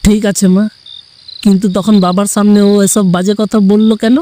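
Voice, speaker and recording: A man's voice: sits high, level tone, moderate pace, warm tone, slight background noise, lightly acted. A man playing an irritable, curt young man in an audio story, scolding and exasperated. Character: irritable young man pestered by his lovestruck cousin. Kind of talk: Bengali audio story acting.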